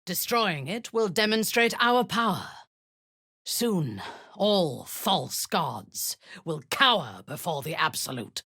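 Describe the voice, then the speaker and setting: A woman playing a cruel, haughty drow cult commander in a video game, with a breathy, husky voice and a commanding, menacing manner. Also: on the low side, sing-song, slow pace, pushed and bright, exaggerated voice. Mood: zealous, haughty. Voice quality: breathy, husky, often dropping to a whisper. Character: imperious, cruel female drow cult commander, seductive and zealous.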